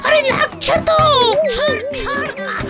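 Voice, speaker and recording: Squeaky whiny cartoon school kid, really really high voice, quick to taunt. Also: over loud music. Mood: pained, protesting. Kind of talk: cartoon voice acting.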